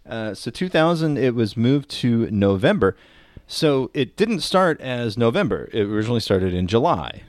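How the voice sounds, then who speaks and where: A man's voice: medium pitch, sing-song, moderate pace, a little mellow, no echo, studio quality. A man co-hosting a podcast in casual comedic talk, explaining things in a wry, opinionated way. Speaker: podcast co-host.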